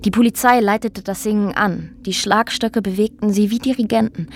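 A woman played by an actress in a radio drama, telling of a harrowing past with restraint. Her delivery is sober and measured, at a middle pitch, with thoughtful pauses. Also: steady tone, lightly acted. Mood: grave, restrained. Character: woman quietly recounting a brutal police ordeal, former activist. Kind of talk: German radio drama dialogue and narration.

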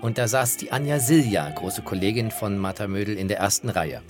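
A man's voice: on the low side, lively intonation, fairly quick, over music. A man presenting personal anecdotes in a conversational, enthusiastic storytelling way. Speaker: presenter and interviewer telling anecdotes.

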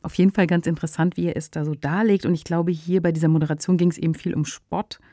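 A woman's voice: fairly low pitch, steady tone, quick pace, mellow, no room sound, studio quality. A woman co-hosting a podcast, discussing fiction in a conversational, explanatory way. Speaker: podcast co-host, fiction writer.

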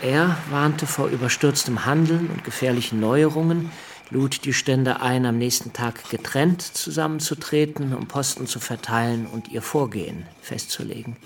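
An actor playing an earnest, dryly scornful revolutionary deputy reading his letters home in a measured radio drama manner. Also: medium pitch, vocal fry, slight background noise.

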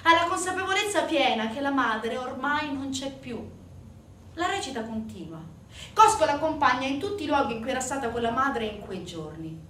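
A woman performing a scripted dramatic monologue as a stage narrator, with measured, weighted phrases. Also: high-pitched, big pitch swings, sharp tone, a little hiss or hum. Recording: roomy, distant sound with faint music.

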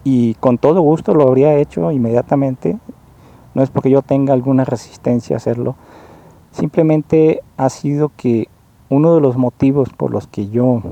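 A man with a very dark, mellow voice. He talks slowly and earnestly, pausing and rewording his phrases as he goes. His pitch sits at an ordinary middle level. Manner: slow, halting, rephrases often; earnest and explanatory. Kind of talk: spoken monologue, personal complaint.

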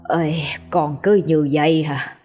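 A woman with a Southern Vietnamese accent voicing a kind older village woman who speaks slowly and soothingly. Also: deep voice, fairly even intonation, dark tone, soft background music. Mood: kindly. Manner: slow, soothing, coaxing.

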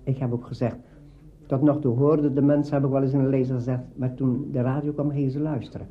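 An older man reminiscing about radio work in an interview, in emphatic, halting phrases. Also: medium pitch, flat delivery. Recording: dull lo-fi recording.

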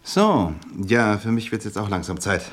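A husky, deep-voiced gushing flatterer. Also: male voice, normal speed. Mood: jovial, coaxing. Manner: gushing, hesitant, chuckling between phrases. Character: effusive, ingratiating bachelor businessman, clumsy flatterer. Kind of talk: naturalistic German radio drama acting.

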